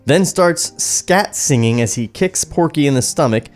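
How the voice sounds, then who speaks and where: A man's voice: on the high side, animated, normal speed. Man narrating a screenplay over music. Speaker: podcast host and script narrator. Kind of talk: reading screenplay directions aloud. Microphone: podcast studio microphone.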